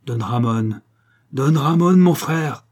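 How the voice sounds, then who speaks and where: A man's voice: mid-range voice, steady tone, moderate pace, a little mellow, natural voice. A man voicing a proud, troubled young duke in an audiobook, solemn, emphatic and imploring with his brother. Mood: pleading. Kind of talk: audiobook dialogue acting.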